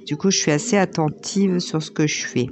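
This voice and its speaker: Chatty, slightly hoarse woman vlogger. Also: low voice, fairly even intonation, normal speed, a crowd in the background. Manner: chatty, rambling, many fillers. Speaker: diamond painting vlogger. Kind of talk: casual chat while crafting.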